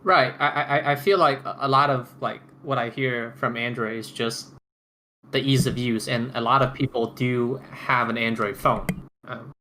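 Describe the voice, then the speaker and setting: A male podcast host with a bright, forward voice leads a casual roundtable. He speaks haltingly, circling back over his phrases, with some noise behind him. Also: mid-range voice, steady tone, rough recording. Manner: halting, repeats and restarts phrases. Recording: noticeable background noise.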